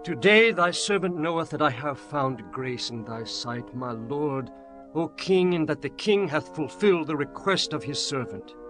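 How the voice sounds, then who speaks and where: A man's voice: fairly high pitch, lively intonation, unhurried, a bit bright, faint music behind. An actor playing an earnest, formal servant of a king in a dramatized scripture reading, declaiming in archaic phrases. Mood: grateful. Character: deferential male royal servant, earnest and formal.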